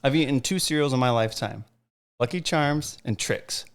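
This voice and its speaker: A man in his thirties from Southern California, a podcast guest who talks in loose, rambling, joking stretches full of slang. His voice sits at an ordinary middle pitch. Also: moderate pace, clear, resonant tone. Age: 30s. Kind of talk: casual podcast chat.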